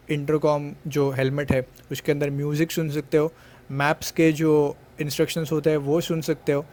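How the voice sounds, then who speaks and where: A man narrates an unboxing and review in a somewhat high voice. His intonation stays very level and unanimated. He explains each step methodically and drops English words into his speech. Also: a little mellow. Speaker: product reviewer.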